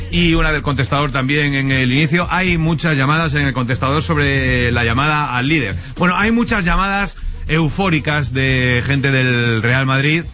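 A man from Spain hosting a radio sports show, running through the news with wry asides. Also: on the high side. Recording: dull radio sound with music under.

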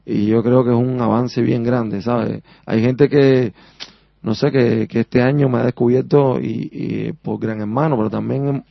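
A man from Cuba speaks with a strong Havana accent, rambling freely and tossing in "sabes?" as he tells his story. His voice sits at an ordinary pitch. The sound is dry and a little dull, as in a radio studio. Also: creaky, dry recording. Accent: Havana Cuban Spanish. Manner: talkative, rambling, keeps asking "sabes?". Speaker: singer, interview guest. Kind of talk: radio interview.

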